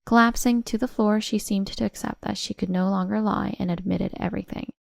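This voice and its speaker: A woman's voice: medium pitch, fairly even intonation, brisk, vocal fry, dry recording, clean studio sound. A woman reading a scripted first-person family drama as its narrator. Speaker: story narrator.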